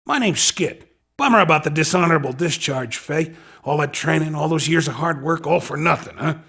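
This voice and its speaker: A man playing a cocky street racing recruiter in a video game, with a raspy voice and slangy, coaxing patter. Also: fairly high pitch, a little fast, sharp tone, heavily acted. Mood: coaxing, slick. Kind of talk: video game character dialogue.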